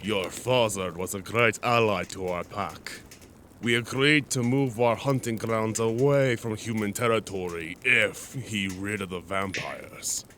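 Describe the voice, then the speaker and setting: A man voicing a proud, courteous werewolf in an audio roleplay, with a formal and deliberate way of talking. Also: fairly low pitch, steady tone, a bit bright, slight background noise. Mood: solemn. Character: dignified male werewolf, proud, courteous pack member. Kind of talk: cinematic audio roleplay acting.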